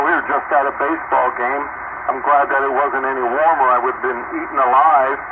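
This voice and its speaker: A man's voice: medium pitch, fairly even intonation, fairly quick. A man making casual small talk as an operator on a two-way radio. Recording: narrow tinny radio transmission, loud static.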